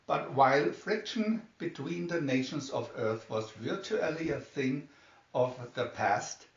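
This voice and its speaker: Guy reading a storybook aloud, kinda high voice, echoey room. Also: animated, a little slow, vocal fry. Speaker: storybook narrator. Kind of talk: scripted story narration, read aloud. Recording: roomy, distant, slightly dull home recording.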